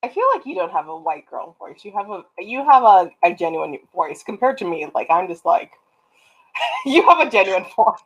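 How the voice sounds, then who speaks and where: A young woman from Canada, a guest writer on a video call, speaking reflectively with many pauses and ums. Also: mid-range voice, lively intonation, low-quality recording. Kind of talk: casual video call chat. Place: home room on a video call.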